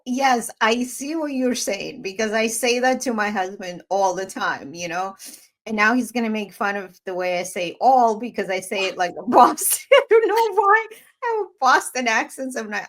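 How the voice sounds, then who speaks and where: Woman, podcast co-host, slightly high voice, chatty and animated, laughs a lot. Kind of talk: casual chat.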